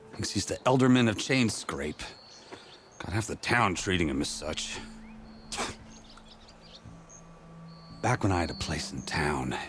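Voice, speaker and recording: Deep, folksy tinkerer. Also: male voice, fairly bright tone, a little hiss or hum. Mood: grumbling. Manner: folksy, rambling storyteller. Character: chatty, good-natured male tinkerer, mildly grumbling rustic craftsman. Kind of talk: naturalistic video game cutscene acting.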